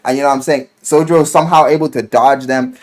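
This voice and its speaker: A man telling a story casually in slang and swearing, as an animated video game reviewer who acts out what people say. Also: mid-range voice, fast. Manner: animated storyteller, acting out quoted lines.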